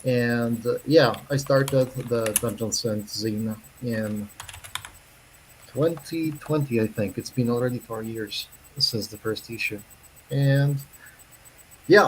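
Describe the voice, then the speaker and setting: A man from Poland with a Polish accent, a non-native English speaker, giving a careful online presentation with many pauses. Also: medium pitch, slight background noise, rough recording. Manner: careful, unhurried, self-conscious, with many pauses and fillers. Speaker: zine editor giving a presentation.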